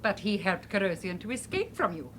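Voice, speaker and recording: An actress in a radio drama playing an elegant, teasing accomplice of a villain, formal and polite as a hostess. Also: female voice, mid-range voice, very animated, fairly quick, a bit bright. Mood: teasing, probing. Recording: faintly noisy radio drama recording.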